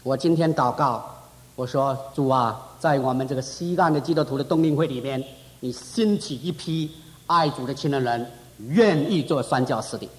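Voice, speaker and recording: A man's voice: mid-range voice, normal speed. A man preaching a fervent sermon at a church conference. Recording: reverberant hall.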